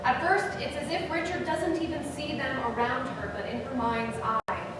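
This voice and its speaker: A high-pitched woman delivers scripted narration for a staged play reading. The sound is distant and very echoey, with noticeable noise behind it. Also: steady tone, normal speed. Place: live staged reading venue. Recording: very echoey hall, distant, noisy.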